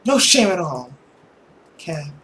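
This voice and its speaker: A man's voice: a little high, big pitch swings, takes it slowly, sharp tone, a little hiss or hum, full character voice. A man voicing a bitter, haughty girl in a visual novel, with a sardonic, theatrical delivery. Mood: bitter, sarcastic. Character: bitter, haughty, sarcastic girl of a genteel household.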